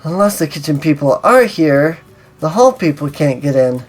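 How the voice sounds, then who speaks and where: A woman's voice: deep voice, lively intonation, moderate pace, soft background music. A woman reading a story aloud and breaking off for casual, conversational commentary. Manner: conversational asides. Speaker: host reading a visual novel aloud.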